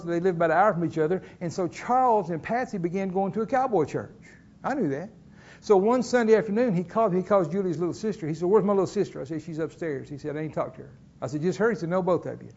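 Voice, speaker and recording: Pastor, middle-aged man, folksy storyteller from the pulpit, high voice, slightly muffled lo-fi recording. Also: darkish tone. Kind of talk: sermon. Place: church.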